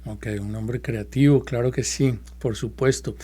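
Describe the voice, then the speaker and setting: A man hosting his own podcast, welcoming a guest and asking questions in a relaxed, repetitive way. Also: medium pitch, a little slow, breathy edge. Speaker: podcast host.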